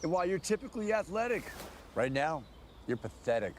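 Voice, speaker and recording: A man's voice: on the high side, big pitch swings, a little fast, background noise. An actor playing mayhem personified as a smug, menacing man in a scripted commercial, taunting and sly. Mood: smug menace.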